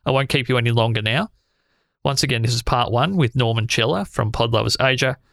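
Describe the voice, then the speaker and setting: A man's voice: medium pitch, fairly quick, creaky, a bit bright, dry recording, studio quality. A man hosting a podcast, chatting enthusiastically and laughing easily as he introduces his guest. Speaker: podcast host. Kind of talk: casual podcast intro and interview.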